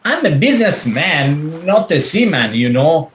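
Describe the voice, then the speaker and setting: An actor playing a seasick, smooth-talking businessman husband in a radio play, melodramatic and whiny. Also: on the high side, big pitch swings, measured pace. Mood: anxious, queasy. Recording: faint background noise.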